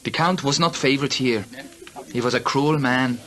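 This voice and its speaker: An actor playing a courteous but guarded village innkeeper, formal and deliberate, in a radio drama. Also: male voice, medium pitch, a bit bright, lightly acted. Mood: guarded, ominous.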